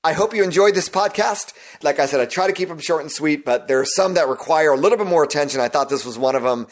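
A man hosting his own podcast, talking listeners through his process in an earnest, instructive way, his voice gone hoarse. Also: on the high side, fast talker. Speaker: podcast host. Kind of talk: solo podcast monologue.

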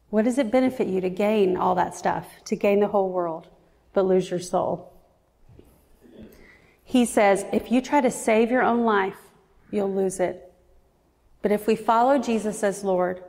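Woman preacher in her forties, earnest and reflective, slightly gravelly, long church-hall reverb. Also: medium pitch, darkish tone. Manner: earnest, reflective, slows down for emphasis. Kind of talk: sermon.

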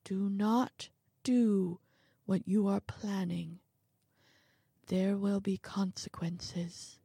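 A woman voicing a small, anxious man who warns and pleads in a soft, high voice. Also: expressive, slow, dry recording, clean studio sound, full character voice. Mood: warning.

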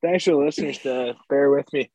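Brief: male podcast co-host, husky, sore-throated, losing his voice, dry close mic. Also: mid-range voice, fairly quick, mellow, no echo, highs cut off. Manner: laid-back agreeing banter. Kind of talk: casual sports podcast chat.

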